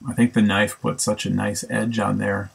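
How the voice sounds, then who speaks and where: A man's voice: on the low side, little pitch movement, normal speed, vocal fry, breathy edge, poor audio. A man casually narrating a woodworking build, thinking aloud with ums and self-corrections. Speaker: woodworking instructor. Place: home woodshop.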